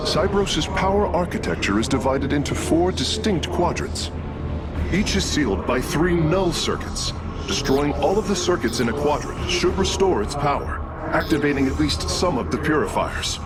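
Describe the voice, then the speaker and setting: A man's voice: on the high side, lively intonation, moderate pace, loud music behind the voice, lightly acted. An actor playing an analytical, precise male alien engineer in a video game, speaking formally. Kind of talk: game cutscene voice acting.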